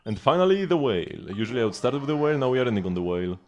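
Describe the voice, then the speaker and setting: A man's voice: medium pitch, big pitch swings, rapid-fire, lo-fi sound. A man giving casual live gameplay commentary, rambling and thinking aloud as he goes. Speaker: game streamer. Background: game music.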